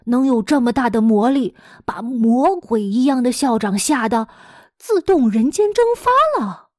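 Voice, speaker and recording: A woman with an expressive, dramatic storytelling manner, speaking as a teacher to young listeners. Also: on the high side, a little slow, no room sound, studio quality. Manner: dramatic, animated delivery with rhetorical questions addressed to young listeners. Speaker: teacher hosting a children's story podcast.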